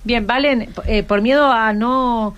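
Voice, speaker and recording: A woman's voice: fairly high pitch, slow, smooth, lo-fi sound. Upbeat Argentine woman hosting radio. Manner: warm, encouraging, asks short questions. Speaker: radio host. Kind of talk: casual radio interview. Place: radio studio.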